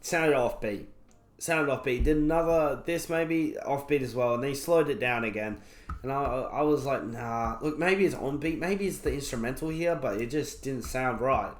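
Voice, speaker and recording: A young man with a croaky voice, recovering from being sick, casually reviewing an album and rambling with lots of fillers. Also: medium pitch, normal speed. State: croaky voice after illness. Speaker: music reviewer. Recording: roomy echo.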